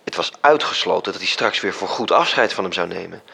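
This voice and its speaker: An actor playing a measured storyteller, reading scripted literary narration for a radio drama. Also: male voice, deep voice, animated, creaky, pushed and bright, breathy edge, full character voice. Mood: reflective. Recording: music under the voice. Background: music.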